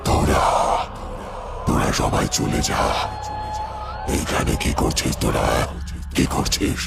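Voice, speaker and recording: Man voicing a gravelly, abyss-deep menacing jinn. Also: level tone, over loud music, full character voice. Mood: angry, threatening. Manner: slow, threatening, drawn-out phrases. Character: menacing jinn spirit possessing a village girl.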